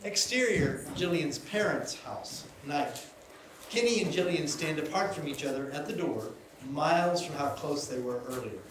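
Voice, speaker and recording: Bright-voiced man narrating, very echoey. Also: on the high side, expressive, normal speed. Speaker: narrator of stage directions. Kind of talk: scripted narration at live table read. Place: live stage venue. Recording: very echoey, distant, noisy stage recording.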